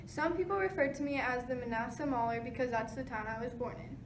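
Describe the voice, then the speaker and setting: A woman's voice: on the high side, quick pace, natural voice. A woman playing a famous 1920s male boxing champion, giving rehearsed answers in an interview. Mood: matter-of-fact. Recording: noisy, echoey room, distant mic.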